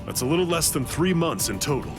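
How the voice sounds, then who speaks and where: An actor playing a grave, knowing warrior mentor in an anime game, explaining seriously and with command. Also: male voice, a little high, lively intonation, a little fast, over music. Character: grave, knowing Soul Reaper mentor to a young fighter. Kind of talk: English anime game voice acting.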